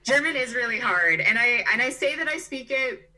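A woman's voice: fairly high pitch, expressive, moderate pace, bright, forceful tone, recorded from a distance. A young woman in her twenties from Brazil and Chicago, chatting casually as an interview guest and filling her talk with "like" and "you know". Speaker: interview guest, digital nomad.

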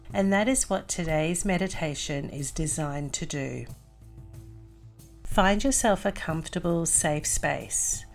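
Slow, low-pitched woman guiding meditation. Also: vocal fry. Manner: calm, soothing, deliberate phrasing with long pauses. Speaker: podcast host and meditation guide. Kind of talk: guided meditation narration.

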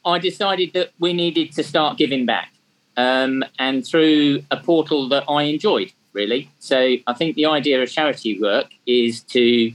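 A man's voice: medium pitch, low-quality recording. Chatty English man in his fifties. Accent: English, with some Australian tinge. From England. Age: 50s. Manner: chatty and self-deprecating, frequent ums. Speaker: guest, charity track day organiser. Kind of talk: casual interview talk.

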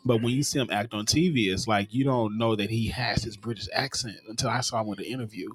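A man's voice: fairly low pitch, fast, slightly rough. A man chatting casually as a co-host, explaining things at length with frequent restarts. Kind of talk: casual chat.

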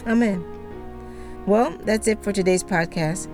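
A woman co-hosting a religious podcast, reading a script in a calm, measured way. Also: medium pitch, music in the background. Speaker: podcast co-host.

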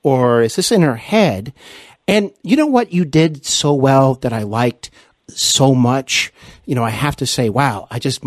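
Male podcast host, mid-pitched, effusive and conversational, recorded dry. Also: dry recording. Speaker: interview show host. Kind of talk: casual interview conversation.

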